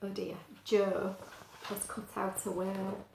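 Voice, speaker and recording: A woman's voice: medium pitch, little pitch movement, takes it slowly, vocal fry, a little mellow, slight background noise. A woman reading a picture book aloud to young children, explaining things simply and adding chatty asides. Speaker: story reader for young children. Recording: echoey, roomy sound.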